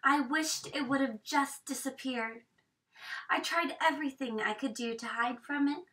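A woman in her thirties reading a picture book aloud expressively, with dramatic hushed emphasis, recorded from a camera across a small room. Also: high-pitched, measured pace. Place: small indoor room with bookshelves. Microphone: camera a few metres away. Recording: distant microphone in a room.

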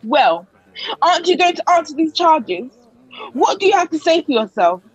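A woman playing a stern, accusing high priest in an audio play, imperious and formal as an interrogator. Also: big pitch swings, moderate pace, lo-fi sound. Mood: stern, probing. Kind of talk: dramatic audio play acting.

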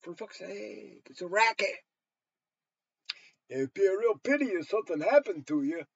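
A man's voice: high voice, lively intonation, normal speed, vocal fry, slightly rough, dry recording. An American man in his sixties giving a blunt, emphatic, profanity-heavy rambling monologue to a camera in a small room. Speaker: storyteller and vlogger. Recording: dull, lo-fi webcam sound.

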